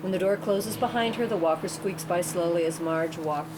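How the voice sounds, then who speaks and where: A woman narrating screenplay directions at a table read. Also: normal speed. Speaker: narrator reading stage directions. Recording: clear background rumble and room noise.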